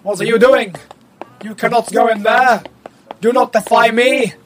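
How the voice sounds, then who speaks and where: A woman's voice: on the high side, steady tone, a little slow, close to an everyday voice. A woman playing a tiny, pompous male magical guru who gives indignant, grandiose commands in a comic radio play. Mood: indignant, commanding. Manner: grandiose, commanding, archaic phrasing. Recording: music behind the voice.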